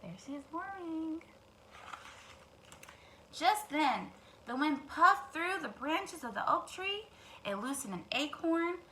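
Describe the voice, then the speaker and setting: A woman reads a children's story in a high, bright voice. She is a storytime teacher who speaks expressively and talks straight to young listeners, with clear noise in the recording. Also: big pitch swings, slow pace, long pauses, low-quality recording. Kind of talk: children's read-aloud with asides.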